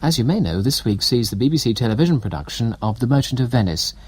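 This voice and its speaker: A man with a British accent reading scripted radio continuity announcements in a formal, measured way. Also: medium pitch, expressive, a little mellow, breathy edge, no room sound. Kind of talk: scripted announcements and weather forecast.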